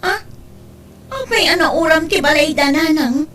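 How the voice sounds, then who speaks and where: A stern, dependable aunt , acted by a woman, with a slightly high voice. She lays down advice firmly in an Ilocano-accented family audio drama. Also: expressive, a little slow, a bit bright, slight background noise. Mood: indignant. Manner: firm, admonishing. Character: stern, responsible aunt and daughter, advising family.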